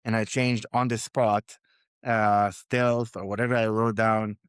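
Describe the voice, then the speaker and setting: Smooth-voiced man telling founder stories. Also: fairly low pitch, a little slow, no room sound, clean studio sound. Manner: animated anecdotes with fillers and repeated words. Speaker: guest, company founder. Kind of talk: conversational storytelling.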